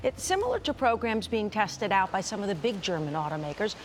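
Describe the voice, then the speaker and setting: A woman's voice: medium pitch, sing-song, brisk, a little hiss or hum. A woman anchoring TV news in a conversational, chatty way, speaking directly to viewers. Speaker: TV news anchor and consumer reporter. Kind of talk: broadcast news presenting, conversational.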